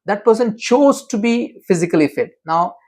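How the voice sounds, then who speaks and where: A man's voice: high-pitched, lively intonation, moderate pace, a little mellow. A man being interviewed as a memory expert, explaining in an emphatic, teacherly way and often asking rhetorical questions.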